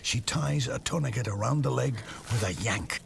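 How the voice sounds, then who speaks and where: A man's voice: medium pitch, moderate pace, gravelly, slight background noise. A man giving dramatic scripted narration for an audio drama.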